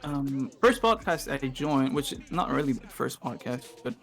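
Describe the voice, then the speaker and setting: A man whose first language is Spanish talks in a mid-range voice as a guest on a call, pausing and filling gaps with uh. He laughs easily and sounds a little unsure of himself. Faint music plays under him. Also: medium pitch, animated, fairly bright tone. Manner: hesitant, many filler sounds, laughs often. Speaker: podcast guest. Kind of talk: casual chat.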